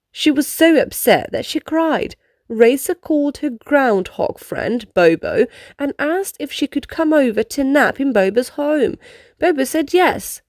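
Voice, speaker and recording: A woman reading a children's picture book aloud in an expressive storytelling style. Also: high-pitched, no room sound, studio quality.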